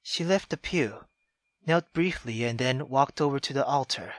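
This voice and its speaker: A young man playing a visual novel on camera, reacting loudly and excitably with lots of swearing. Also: mid-range voice, normal speed, no room sound. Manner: loud, excitable, heavy swearing. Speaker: gaming YouTuber. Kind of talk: let's-play commentary.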